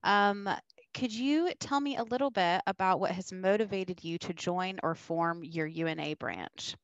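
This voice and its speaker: Woman co-host, lowish voice, informative. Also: unhurried, clear, resonant tone, dry recording, highs cut off. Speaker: podcast co-host. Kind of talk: scripted explanation and questions.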